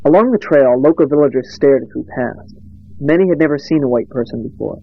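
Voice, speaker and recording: A man narrating a documentary in measured, plain storytelling. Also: medium pitch, creaky. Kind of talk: scripted narration. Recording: dull lo-fi recording.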